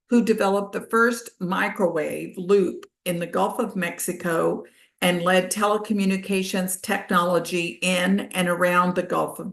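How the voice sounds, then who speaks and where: A woman's voice: lowish voice, gravelly, reverberant. A woman reading a formal introduction, announcing the next presenting team. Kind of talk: scripted introduction.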